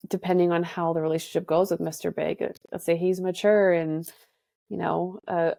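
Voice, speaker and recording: A woman therapist in her thirties speaks in a mellow, slightly low voice. She is reflective and careful, weighing her points as she goes. The recording is dry. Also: creaky, no room sound. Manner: thoughtful, reflective, hedging. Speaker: therapist guest. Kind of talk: casual podcast conversation.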